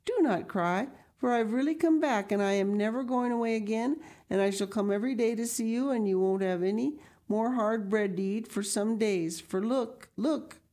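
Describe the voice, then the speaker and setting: An affectionate young girl in a children's story, voiced by a woman reader. She speaks high, eager and earnest, offering reassurance to someone she loves. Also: normal speed, few pauses, mellow, close to an everyday voice. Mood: reassuring.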